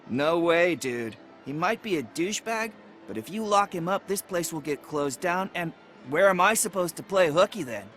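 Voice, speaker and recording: An actor playing a cheeky, dismissive teenage truant in a video game. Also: male voice, sits high, animated, normal speed, fairly bright tone, soft background music. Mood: indignant. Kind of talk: video game cutscene acting.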